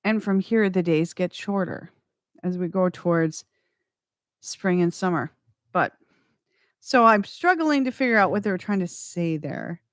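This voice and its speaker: A woman's voice: on the low side, animated, no room sound, clean studio sound. A woman hosting a radio music show, chatting between tracks in a rambling way that corrects itself as she goes. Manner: slow, rambling, self-correcting.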